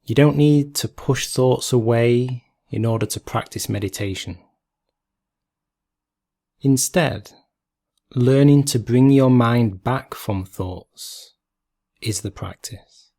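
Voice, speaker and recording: A man leading a guided meditation in a calm, gentle, measured way, leaving long pauses between phrases. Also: medium pitch.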